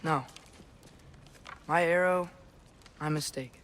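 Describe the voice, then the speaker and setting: Higher-voiced, earnest teenage boy. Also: steady tone, slow, fairly bright tone. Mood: apologetic. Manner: casual, inquisitive, sincere. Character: earnest, curious teenage boy giant archer. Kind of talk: video game cinematic acting.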